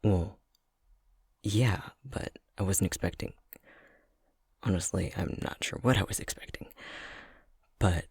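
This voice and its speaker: A young man playing a clumsy, self-conscious fox-shifter boyfriend in an intimate ASMR roleplay, speaking hesitantly with a soft, breathy, gravelly voice. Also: low voice, dry recording, clean studio sound, full character voice. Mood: baffled, overwhelmed. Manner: hesitant, stammering, self-deprecating.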